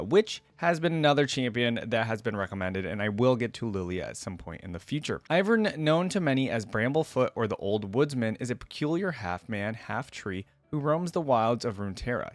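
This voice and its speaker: A man's voice: medium pitch, no room sound. A man hosting a reaction video, moving between casual, informal commentary and reading a story aloud. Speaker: YouTube reaction host.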